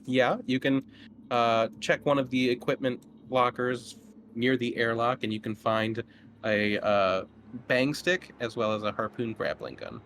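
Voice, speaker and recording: A man narrating a tabletop horror game as game master in a halting, conversational way. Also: mid-range voice, steady tone, fairly bright tone, slight background noise.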